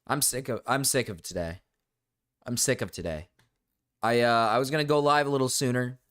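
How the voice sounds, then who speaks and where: A man streaming live and venting to his chat with irritation, circling back over the same points and stumbling over his words. His voice is a little hoarse and cracks now and then, at a middling pitch. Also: a little fast, a bit bright. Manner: annoyed, ranting, repeats himself. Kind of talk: casual livestream rant.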